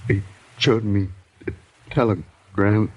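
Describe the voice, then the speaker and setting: An actor playing a badly injured watchman in an old radio drama, weak and in pain, forcing out halting, groaning fragments. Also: on the low side, fairly even intonation, a little mellow. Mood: weak, straining. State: weak, in pain, struggling to speak. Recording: muffled vintage radio recording.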